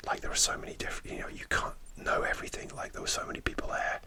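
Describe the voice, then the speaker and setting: A man's voice: very fast, bright, forceful tone. A man whispering a rambling, hesitant story as an ASMR creator, speaking right up close to the microphone with frequent restarts.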